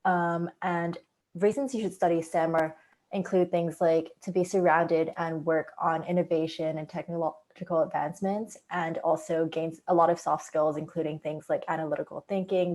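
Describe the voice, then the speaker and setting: A woman's voice: deep voice, level tone, moderate pace, a little mellow. A young woman from Hong Kong, a student panelist, explaining things in a webinar with frequent ums. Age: young adult. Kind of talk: webinar presentation.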